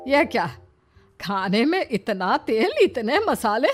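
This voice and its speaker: Casting: woman as fussy, ailing older mother-in-law for cartoon story, high voice, forever scolding and complaining. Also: very animated, slow. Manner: whining, scolding. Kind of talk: cartoon moral story acting. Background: faint music.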